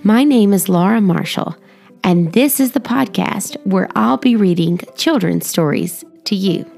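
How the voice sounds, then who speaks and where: A woman hosting a children's story podcast, speaking expressively and deliberately as if reading aloud to young listeners. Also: medium pitch, animated, vocal fry, a little mellow, soft background music. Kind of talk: children's storybook narration and friendly address to listeners.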